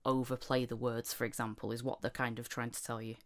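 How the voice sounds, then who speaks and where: A woman's voice: a bass voice, level tone, brisk. A woman podcast host with a Northern English, Yorkshire-tinged accent, chatting casually and rambling with many restarts. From Northern England. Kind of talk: casual chat.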